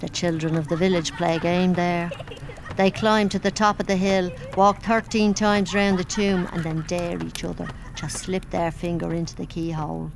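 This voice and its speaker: A woman acting an old servant in an audio drama, telling grim folklore in an ominous, measured way. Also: lowish voice, little pitch movement, creaky, noisy background. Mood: ominous storytelling.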